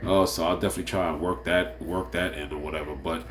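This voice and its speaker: A man with a very low voice and a stuffy, nasal sound from being a little under the weather. He rambles loosely through his picks, circling back on the same phrases and filling gaps with "uh" and "like I said." A faint hum sits behind him. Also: steady tone, fast talker, fairly bright tone. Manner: rambling, repeats phrases, many fillers. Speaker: fantasy sports show host. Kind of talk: casual solo picks preview.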